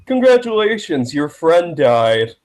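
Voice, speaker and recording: Guy guest on a stream, sarcastic interjections, pitch jumps around a lot, casual. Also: sing-song, slow, a little mellow. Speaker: guest co-commentator on a live stream. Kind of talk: casual chat.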